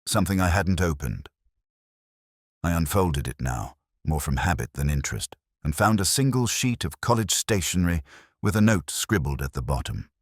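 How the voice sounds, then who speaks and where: A man narrating a first-person detective mystery in a measured, literary, brooding manner. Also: sits low, lively intonation, breathy edge, dry recording, clean studio sound.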